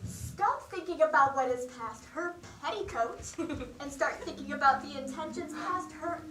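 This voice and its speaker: Anxious, distrustful seafaring character, played by a young woman, very high, urgent theatrical delivery, distant and echoing. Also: a little slow. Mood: urgent, reproachful. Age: young adult. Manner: urgent, dramatic. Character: anxious, jealous first mate and bitter, distrustful siren's voice. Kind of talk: theatrical stage acting in mock-archaic speech. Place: school theater stage, recorded from far off. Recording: echoey school stage, distant microphone.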